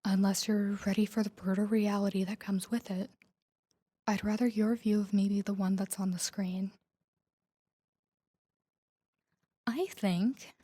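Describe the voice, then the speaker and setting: A woman performs a female crime boss confiding in a lover in an intimate audio drama. The voice is warm and relaxed with a dark, mellow tone, often sinking close to a whisper. The delivery is measured and earnest, guarded but tender. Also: medium pitch, slightly rough, lightly acted. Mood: earnest, regretful. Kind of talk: intimate scripted audio roleplay addressed to the listener.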